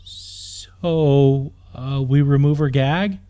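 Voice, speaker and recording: A man's voice: mid-range voice, fairly even intonation, drawn-out words, mellow, smooth. A man voicing a dim-witted bandit underling in an audiobook, puzzled and simple. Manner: puzzled, stammering.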